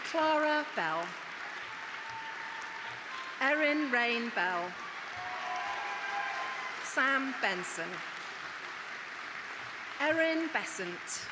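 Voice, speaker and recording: A woman with a slightly high voice reads graduates' names at a slow, formal pace. Each name is measured and deliberate. Loud crowd applause and heavy room noise surround her. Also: very animated. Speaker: head of school presenting graduates. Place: large ceremony hall.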